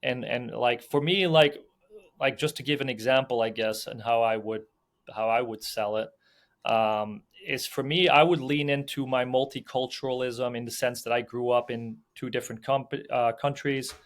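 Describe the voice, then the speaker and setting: A man's voice: mid-range voice, steady tone, normal speed. A young man from Belgium, a film producer and sales agent, talking loosely in a podcast interview, full of fillers, restarts and "you know what I mean".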